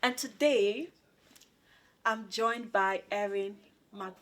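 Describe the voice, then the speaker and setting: Chatty young woman hosting, medium pitch. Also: slow pace, a bit bright. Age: young adult. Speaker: student union president hosting an interview. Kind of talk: informal hosted interview.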